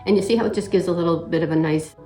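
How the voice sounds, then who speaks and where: A middle-aged woman with a slight cold and a little raspy voice, talking through a makeup tutorial step by step in a relaxed, casual way and clearing her throat now and then. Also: fairly low pitch, rapid-fire, soft background music, poor audio. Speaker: makeup vlogger.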